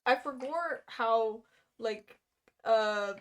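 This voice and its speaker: A woman with a fairly high voice and an unhurried pace, livestreaming. She is expressive and self-deprecating, drifting between reading aloud and rambling informally. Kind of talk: casual livestream chat.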